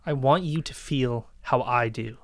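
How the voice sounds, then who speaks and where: A man acting a vengeful, obsessive young captor in a dark dramatic podcast reading, speaking in an accusing, deliberate way. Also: medium pitch, dry recording. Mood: quietly menacing.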